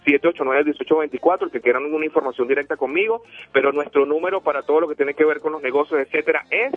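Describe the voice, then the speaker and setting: A man's voice: a little high, fast, faint music behind. A man from Venezuela hosting a motivational radio show, speaking emphatically and repeating himself for effect. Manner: preachy, emphatic, repeats phone numbers. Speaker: radio host. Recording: tinny phone-like line.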